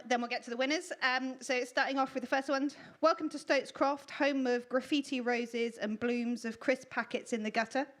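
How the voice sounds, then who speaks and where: A woman's voice: sits high, a bit bright. A woman announcing at a live event, reading out entries informally in a giggly, self-deprecating, jokey way. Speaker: presenter announcing writing contest winners. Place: live storytelling event at a venue.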